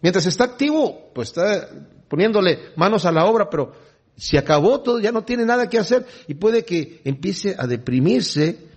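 A man's voice: sits high, very animated, normal speed, slightly rough. A man preaching a Bible lesson who reads scripture aloud and then explains it in conversational asides. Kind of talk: Bible teaching sermon.

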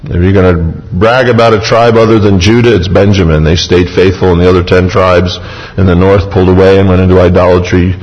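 A man preaches with a low voice, emphatic and lively as he retells scripture in the first person. His words ring out with a long reverb, as in a large sanctuary. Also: brisk, dull audio. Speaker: pastor. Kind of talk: sermon.

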